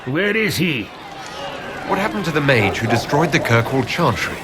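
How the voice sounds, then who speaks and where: Man putting on a haughty, high-pitched fantasy hero. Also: big pitch swings, very noisy. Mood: probing. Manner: imperious, curt, slow and contemptuous. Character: irritable, haughty, self-important male fantasy hero. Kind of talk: roleplayed video game dialogue.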